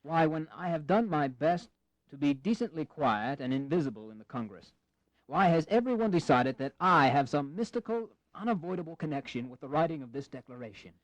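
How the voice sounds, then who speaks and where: An actor playing a modest, courteous gentleman statesman from Virginia in a historical audio drama, reluctant and formal, with a hesitant manner. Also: on the high side, animated, a little fast, no echo, lightly acted, low-quality recording. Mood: flustered, modest. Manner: hesitant, formal, mildly flustered.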